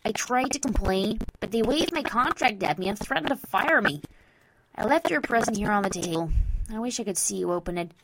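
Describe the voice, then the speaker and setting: A woman voicing a brash, playful young mare DJ from a cartoon, in a loud, teasing and exuberant manner. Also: medium pitch, lively intonation, brisk, noisy background, lightly acted. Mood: apologetic, annoyed. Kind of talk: fan fiction character voice.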